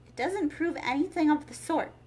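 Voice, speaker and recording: Young storybook heroine adult female reader: high, defiant, sensible, quick to argue. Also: darkish tone, close to an everyday voice. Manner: matter-of-fact, argumentative. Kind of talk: storybook character read-aloud.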